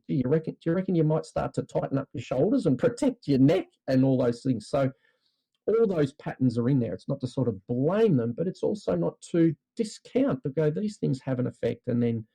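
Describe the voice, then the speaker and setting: A man's voice: medium pitch, creaky, warm tone, slightly rough, low-quality recording. A man with an Australian accent, a podcast guest explaining earnestly and thoughtfully, with frequent "you know" fillers. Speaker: podcast guest, trauma release practitioner. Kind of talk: reflective podcast conversation.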